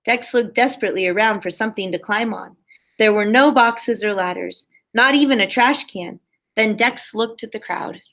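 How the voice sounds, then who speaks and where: A woman's voice: mid-range voice, normal speed, a bit bright. A woman primary school teacher reading a story aloud expressively and talking simply and encouragingly to young children.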